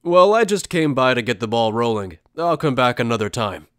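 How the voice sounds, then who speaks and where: A man's voice: medium pitch, lively intonation, moderate pace, no room sound, clean studio sound, close to an everyday voice. A man playing a polite, earnest, easily flustered young male journalist warrior in an anime fan drama. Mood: awkward, polite. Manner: hesitant, polite.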